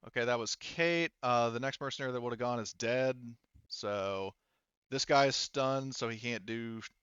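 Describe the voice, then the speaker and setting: A man's voice: mid-range voice, normal speed, fairly bright tone, clear, resonant tone, dry recording, lo-fi sound. A man acting as game master in a tabletop roleplaying game, thinking aloud through rules and dice math.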